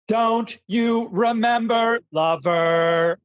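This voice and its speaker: An actor plays a lovesick junkie garbage robot in a comic radio drama. She speaks very slowly and smoothly, flat and deliberate, in a dry, slightly dull recording. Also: mid-range voice, dry recording. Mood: seductive. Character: needy, amorous female garbage robot hooked on cocaine.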